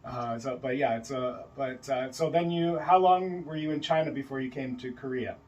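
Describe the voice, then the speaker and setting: A man's voice: medium pitch, moderate pace, recorded from a distance, dull audio. A man hosting a casual podcast interview at a friend's home, chatty and joking, with lots of fillers. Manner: loud, jokey, filler-heavy with many yeahs. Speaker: podcast host.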